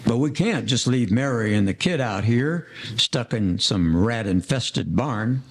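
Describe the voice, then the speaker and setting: A man playing an earnest, soft-hearted gangster in an audio play, using formal old-time slang. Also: medium pitch, expressive, a little slow. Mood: protective.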